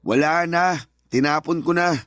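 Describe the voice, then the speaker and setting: A man's voice: on the high side, normal speed, fairly bright tone, no echo, lightly acted. A man playing an irritable, tired, dismissive husband in a radio drama, defensive, curt and exasperated. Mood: curt, evasive.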